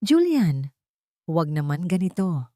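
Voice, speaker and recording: A woman's voice: fairly low pitch, very animated, unhurried, dark tone, no room sound, studio quality. A woman voicing a heartbroken policewoman in an audio drama story, pleading in anguish with a Filipino accent. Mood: grieving.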